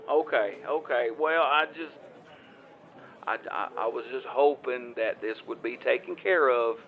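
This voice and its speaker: A man playing a polite, anxious rural caller, halting and stammering, in an improvised audio drama phone call. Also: medium pitch, creaky, a little hiss or hum. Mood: sheepish, relieved. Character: polite, hesitant, anxious rural Southern man filing a claim. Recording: dull, band-limited phone call.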